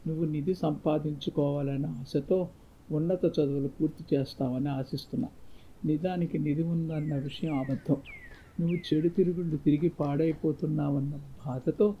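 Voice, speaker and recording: A male storyteller voicing an earnest, solemn father who gives measured counsel to his son in a moral tale. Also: on the high side, natural voice. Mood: tender, confessing. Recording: muffled lo-fi, faint background noise.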